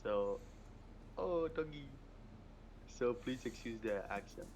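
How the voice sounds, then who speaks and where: A man's voice: medium pitch, lively intonation, slow pace, darkish tone, slight background noise. A Moroccan-born man whose first language is French, speaking English with a French accent as a podcast guest over a phone, giving short, hesitant answers. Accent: French-speaking Quebec, Moroccan-born. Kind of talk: casual podcast chat. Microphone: mobile phone.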